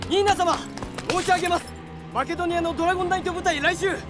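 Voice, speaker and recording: A man's voice: very high voice, fairly even intonation, fast talker, music in the background. An actor playing a dutiful soldier in a Japanese radio drama, reporting formally and urgently to his superiors.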